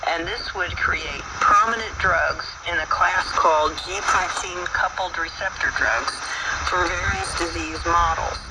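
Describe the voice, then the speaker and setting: A man hosting a radio talk show, explaining things at length in a relaxed way with many fillers. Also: a little high, measured pace, recorded from a distance. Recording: faraway voice with loud background noise.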